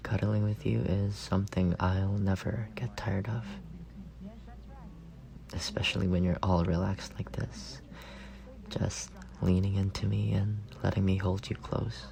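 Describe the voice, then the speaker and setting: A man playing an affectionate, teasing young roommate secretly in love, in an intimate ASMR roleplay with a soft, creaky voice. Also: lowish voice, poor audio. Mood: tender, wistful. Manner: playful teasing murmur with soft chuckles.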